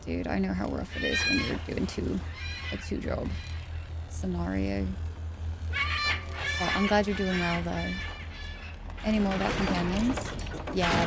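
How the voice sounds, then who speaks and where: A woman streams a game late at night and chats casually with her viewers in a tired, easygoing way. Her voice sits at a middle pitch, and there is a faint hiss of noise behind it. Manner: chatty, thanks viewers and reads chat aloud. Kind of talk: casual chat while gaming live.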